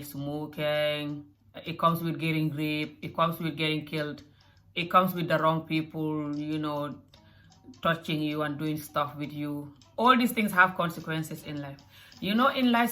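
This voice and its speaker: Woman vlogger, mixed African-Scottish accent, low voice, earnest and repetitive, faint music under her. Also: a bit bright. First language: not English. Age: adult mother. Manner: earnest, repeats phrases, emphatic. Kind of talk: motivational talk to camera.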